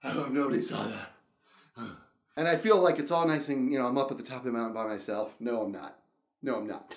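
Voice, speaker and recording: A middle-aged man telling a casual, rambling comedic story in an animated way, acting out dialogue and reactions as he goes. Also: medium pitch, fairly quick, gravelly, reverberant. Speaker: storyteller and show host.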